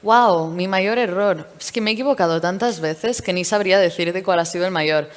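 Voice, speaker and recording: A woman from Portugal, a startup founder, speaking candidly and in a lively way during a stage interview at a tech event. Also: on the low side, normal speed. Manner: candid, lively storytelling with fillers. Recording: reverberant event hall.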